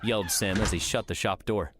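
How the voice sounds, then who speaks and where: A man narrates a story for an audiobook in a fairly low voice. The delivery is scripted third-person storytelling. Some background noise and ambience sits behind him. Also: fairly quick, a bit bright.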